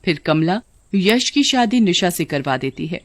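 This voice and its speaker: A woman's voice: fairly low pitch, animated, unhurried, no echo. A woman giving scripted narration for a children's cartoon story.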